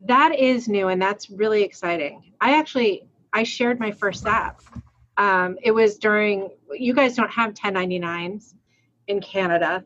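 A woman's voice: medium pitch, normal speed, fairly bright tone, lo-fi sound, slight background noise. A woman in her forties from Rhode Island, co-hosting a casual accounting podcast, chatty, laughing often and restarting her sentences. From Rhode Island, USA. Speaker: podcast co-host.

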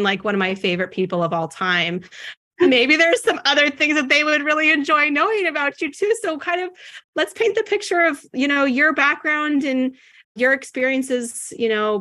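A woman hosts her podcast in a bubbly, gushing way, laughing at herself as she talks. Her voice is bright and forceful and sits a little high. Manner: bubbly, gushing, self-deprecating. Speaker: podcast host. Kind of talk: casual podcast chat.

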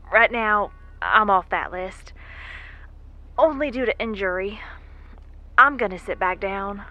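A woman's voice: medium pitch, muffled recording, natural voice. A woman playing a stranded, injured space explorer in a sci-fi audio drama, with a wry, folksy way of muttering asides. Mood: dry, teasing.

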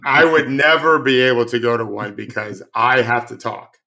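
A man who coaches basketball, co-hosting a sports podcast and giving blunt, loud opinions in a casual way. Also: medium pitch, fairly even intonation, moderate pace, creaky, low-quality recording.

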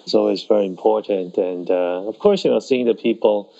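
Taiwan-born guy being interviewed, gravelly dark voice, kinda dull lo-fi sound, stops and restarts a lot. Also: on the low side. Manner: halting, with many restarts and pauses. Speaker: festival organizer. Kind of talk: conversational interview answers.

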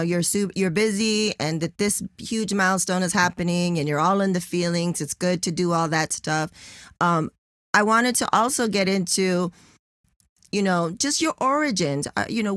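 A woman hosting a casual interview, enthusiastic and expressive, laughing often. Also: mid-range voice, no room sound, clean studio sound. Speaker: interview host.

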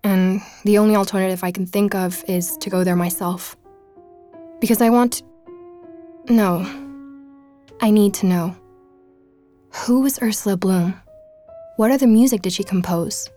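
A woman playing an earnest investigative journalist, narrating a podcast-style audio drama in a measured, inquisitive way. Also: mid-range voice, dark tone, soft background music, natural voice. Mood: determined, intrigued. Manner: inquisitive, measured storytelling with rhetorical questions.

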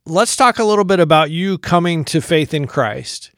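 This is an American man hosting a radio interview, calm and attentive. His voice is smooth and fairly high for a man. The sound is dry and close to the mic. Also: moderate pace, dry recording. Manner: calm, attentive, sums up the guest's words. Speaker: radio show host.

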